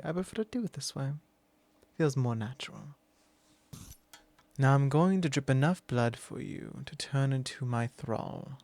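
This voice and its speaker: A man's voice: a little mellow, no echo. A man playing a whispering possessive vampire lord. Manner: slow, low and intimate, with frequent whispers. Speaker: ASMR roleplay performer. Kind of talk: ASMR roleplay.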